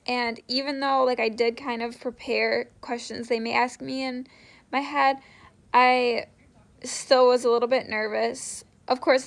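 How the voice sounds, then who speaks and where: A young woman chatting casually to viewers as she shares interview tips, often dropping "like" into her sentences. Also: a little high. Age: young adult. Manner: conversational, frequent "like" as filler. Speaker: vlogger sharing interview tips.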